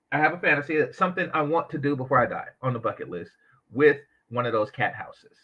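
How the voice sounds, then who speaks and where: Animated sarcastic man, high lively voice. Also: dull audio. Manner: animated, sarcastic, mocking asides. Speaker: commentary channel host. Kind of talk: reaction commentary on a clip.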